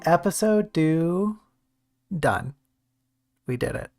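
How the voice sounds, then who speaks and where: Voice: medium pitch, lively intonation, takes it slowly, creaky, darkish tone, soft background music. A game streamer chatting casually and jokingly with viewers during a let's-play. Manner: chatty, jokey, talks to chat.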